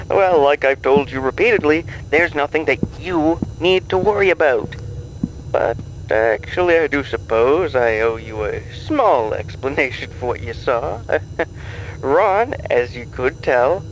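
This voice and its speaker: A man's voice: mid-range voice, expressive, slow pace, a little hiss or hum, rough recording. A man playing a suavely menacing game show boss in an audio drama, theatrical, condescending and mock-polite. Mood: amused evasion.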